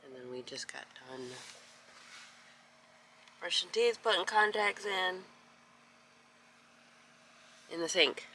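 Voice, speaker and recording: Middle-aged lady vlogging on her phone, bright chatty voice, bit of hiss behind. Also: lowish voice, slow pace, long pauses, poor audio. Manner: chatty, excitable, shifts between hushed whispers and loud exclamations. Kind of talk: casual travel vlog. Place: inside a minivan, then outdoors. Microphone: phone camera at arm's length.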